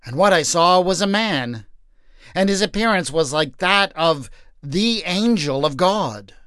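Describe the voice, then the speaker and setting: A man voicing an earnest, excited wife telling her husband news. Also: high voice, a little slow, smooth, no echo, studio quality, exaggerated voice.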